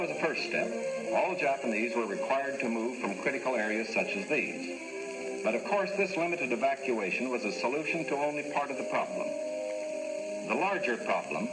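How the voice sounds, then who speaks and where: Male newsreel narrator, 1940s, formal and authoritative, music bed clearly audible. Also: medium pitch, rough recording. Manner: measured, authoritative, official tone. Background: music score.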